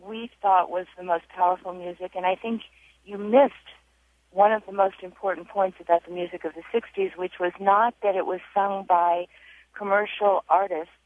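A woman's voice: medium pitch, steady tone, normal speed, no echo. An older woman leaving a firm, emphatic voicemail as a caller. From North Carolina. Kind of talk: voicemail message. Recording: tinny phone line.

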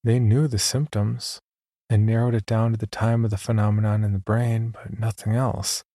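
A man performing scripted first-person horror narration as an uneasy young man, in a measured, confiding way with deliberate pauses. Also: fairly low pitch, little pitch movement, warm tone, no room sound, clean studio sound. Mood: wary, confiding. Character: uneasy young man recounting his sleep disorder. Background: background music.

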